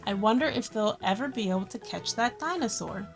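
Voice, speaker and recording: Expressive woman reading a picture book. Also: medium pitch, soft background music. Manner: expressive, slows and stresses key words. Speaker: children's story-time host and reader. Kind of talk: picture book read-aloud with friendly asides.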